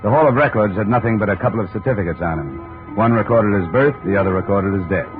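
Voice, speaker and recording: A man's voice: lowish voice, expressive, faint music behind, close to an everyday voice. Man playing a hard-boiled radio detective, lo-fi. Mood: matter-of-fact narration. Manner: brisk, probing, sardonic. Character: hard-boiled, wry male insurance investigator. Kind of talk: 1950s radio detective acting and narration. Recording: scratchy, narrow-band 1950s radio recording.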